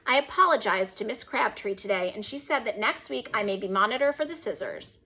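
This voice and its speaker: A woman's voice: mid-range voice, animated, fairly quick, sharp tone, husky, exaggerated voice. A woman voicing a sulky, cross little girl with a whiny, pouting manner.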